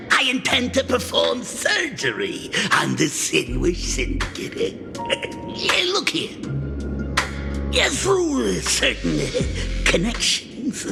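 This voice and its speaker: A man's voice: very high-pitched, vocal fry, heavily acted. An actor playing a sly, flamboyant male gang boss who cackles and wheedles through a theatrical game scene. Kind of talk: video game voice acting. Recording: game audio with music, long reverb.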